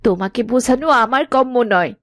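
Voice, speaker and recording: A woman playing a sensible, hardworking young village wife in a cartoon moral tale, gently chiding and earnest. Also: on the high side, dry recording, studio quality.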